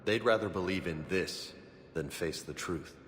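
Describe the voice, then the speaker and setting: A blunt young-spirited grunt from a video game, with a low voice. He talks informally and with disillusion, over faint ambience in a reverberant space. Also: normal speed, fairly bright tone, slight background noise. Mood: blunt, determined. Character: brawny streetwise male soldier, blunt and disillusioned.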